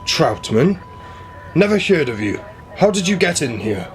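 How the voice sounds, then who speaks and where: A man's voice: a little high, very animated, unhurried, soft background music. A man playing a curt, irritable detective inspector in a radio play, dismissive and used to being in charge. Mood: irritated, dismissive. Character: brusque, irritable male police detective inspector.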